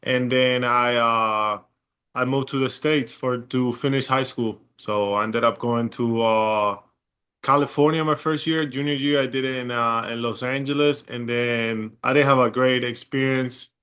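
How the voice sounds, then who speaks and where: A young man from Chile with a Chilean Spanish accent, a guest in a casual interview, talking in a relaxed, rambling way with many fillers. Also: medium pitch, measured pace, smooth. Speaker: guest, pro basketball player.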